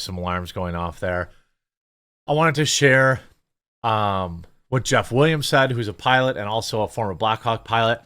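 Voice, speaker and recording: Male commentator, mid-range voice, dry close-mic sound, conversational and hesitant, thinking out loud as he walks through footage. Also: medium pitch, no echo. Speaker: commentator and show host. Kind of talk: casual news commentary over footage.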